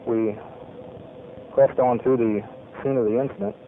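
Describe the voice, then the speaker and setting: A man speaks in a steady, mid-pitched voice, reporting on a mission as a navy pilot in clipped military terms. The sound is thin and narrow, like an old archival radio recording. A faint hiss runs underneath. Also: creaky. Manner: measured, clipped military phrasing. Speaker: navy pilot giving a debrief. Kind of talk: formal spoken mission debrief.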